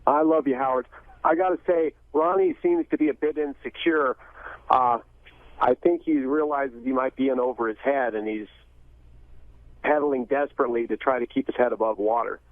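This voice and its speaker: A man's voice: mid-range voice, moderate pace, dry recording. A man phoning in to a radio show to give his opinion casually. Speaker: phone-in caller. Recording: dry, narrow phone line.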